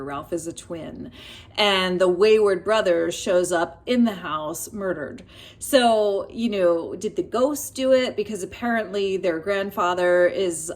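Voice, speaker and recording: A woman talking casually and expressively about books and telling personal stories, often pausing mid-thought as a vlogger does. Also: on the low side. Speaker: book reviewer vlogger. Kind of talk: casual chatty book review and personal storytelling.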